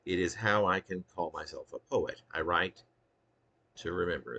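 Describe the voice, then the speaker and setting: Low, gravelly man reading poetry. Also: a bit bright. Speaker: poet reading own work. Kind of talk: spoken poetry reading with casual asides.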